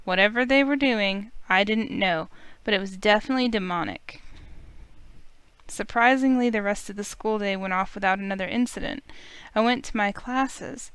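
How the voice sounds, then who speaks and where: A woman hosting a game playthrough, reading the story aloud as expressive narration. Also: mid-range voice, clean tone, no echo.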